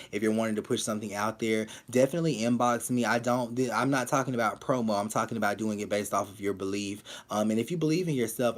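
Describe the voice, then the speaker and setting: A man giving quick live tarot readings to viewers, talking bluntly and repeating his points with many fillers. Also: lowish voice. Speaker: tarot reader.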